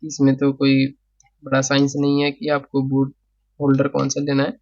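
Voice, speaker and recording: A man's voice: mid-range voice, monotone, moderate pace, darkish tone, dry recording, dull audio. A man talking through a repair tutorial as a technician, casually explaining, repeating phrases, checking in often and mixing in English technical terms.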